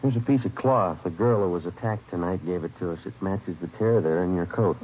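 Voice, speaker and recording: An actor playing a terse, businesslike police detective and narrator in a vintage radio crime drama, clipped and deadpan. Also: male voice, deep voice, brisk, breathy edge. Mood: curt, pressing. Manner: clipped, deadpan, matter-of-fact. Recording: lo-fi vintage radio recording, music underneath.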